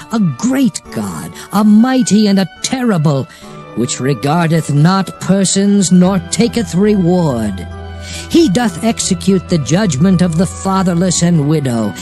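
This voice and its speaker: Solemn male prophet, high-pitched voice, mellow tone, declamatory scripture drama, measured exhortation. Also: heavily acted. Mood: earnest, exhorting. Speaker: scripture narrator. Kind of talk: dramatized Bible reading in archaic English. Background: music under the voice.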